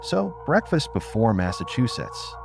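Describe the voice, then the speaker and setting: A man voicing a young woman in love in an audiobook, soft, sincere and gently teasing, with music behind. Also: mid-range voice, sing-song, a little slow, gravelly. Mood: tender, teasing.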